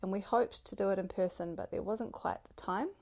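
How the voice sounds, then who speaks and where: Gravelly, mellow-voiced woman podcast host. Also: medium pitch, a little fast. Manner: warm, gushing, grateful, with soft laughs and fillers. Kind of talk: solo podcast introduction.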